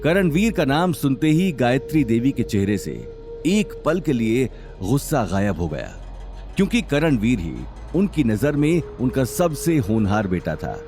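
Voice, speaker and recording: A man's voice: mid-range voice, sing-song, unhurried, darkish tone, faint music behind. A man working as narrator, reading a scripted dramatic story.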